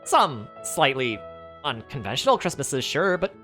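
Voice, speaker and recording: A woman voicing a polite, flustered young woman performer who talks in an apologetic, hurried way. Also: a bass voice, very animated, fairly bright tone, soft background music, pitched above the performer's own voice, exaggerated voice. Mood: cheerful.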